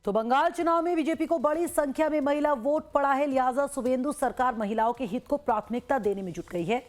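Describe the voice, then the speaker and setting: A woman's voice: sits high, fast, faint music behind. A woman reading scripted news as an anchor.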